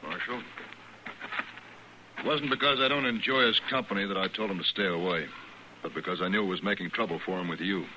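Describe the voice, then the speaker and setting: An actor playing a principled, bookish sheep rancher with an American accent, articulate and quietly defiant, in an old-time radio western. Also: male voice, low voice. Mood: restrained, pointed. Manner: articulate, deliberate, earnest. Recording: noisy old radio recording.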